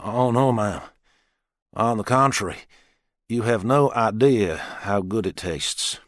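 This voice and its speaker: A man's voice: fairly low pitch, takes it slowly, no room sound, studio quality. A man voicing a courteous, hesitant young ex-army police officer in an audiobook. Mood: relieved, polite.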